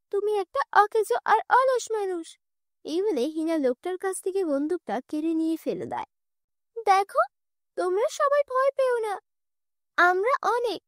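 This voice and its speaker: A woman voicing a brave, cheerful young girl heroine in a cartoon, with a bright, eager manner. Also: very high voice, dry recording, studio quality. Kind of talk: cartoon dubbing.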